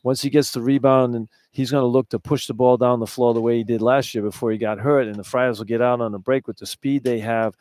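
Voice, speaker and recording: A man's voice: medium pitch, steady tone, fast, few pauses, a little mellow, no room sound. A man working as a color analyst on sports radio, talking in a conversational style.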